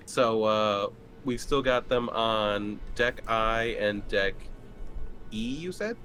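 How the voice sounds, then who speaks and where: A man's voice: fairly low pitch, very slow, sharp tone, faint music behind. A man chatting casually as a player in a tabletop game, tentatively thinking aloud.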